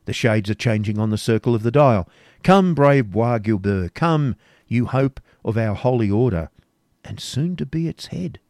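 A man voicing a scheming, authoritative older knight who speaks in a commanding, smoothly persuasive way. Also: mid-range voice, lively intonation, moderate pace, darkish tone, dry recording, studio quality, lightly acted.

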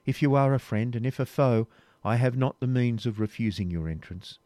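A man reading an audiobook, voicing a proud, resolute young woman captive with a raised pitch and earnest, steady pleading. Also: moderate pace, dry recording, close to an everyday voice. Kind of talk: audiobook reading, period novel.

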